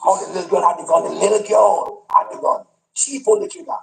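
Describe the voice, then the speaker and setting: A man's voice: high voice, sing-song, fast talker. A man in a news clip retelling a shooting, repeating himself in distress. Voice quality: gravelly, repetitive.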